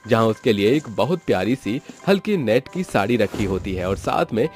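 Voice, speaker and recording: A man's voice: on the low side, big pitch swings, normal speed, darkish tone, faint music behind. A man narrating a scripted story as the storyteller.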